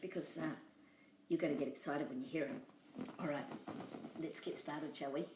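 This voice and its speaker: A woman telling a storybook tale to children, expressive and speaking directly to her young listeners. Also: sits low, normal speed, background noise. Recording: roomy echo, far from mic.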